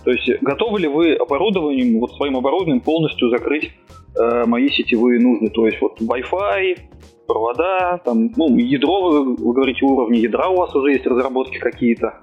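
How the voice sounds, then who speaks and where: A man's voice: medium pitch, animated, brisk, breathy edge, phone-quality sound, faint music behind. A man hosting a podcast, asking casual conversational questions built up in short fragments. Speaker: podcast host.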